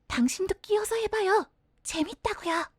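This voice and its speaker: A woman acting in an audio drama as an excitable, kindly library director who guides a newcomer politely and with bubbly delight. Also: very high-pitched, normal speed. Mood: excited, gushing. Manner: bubbly, polite, gushing over small things.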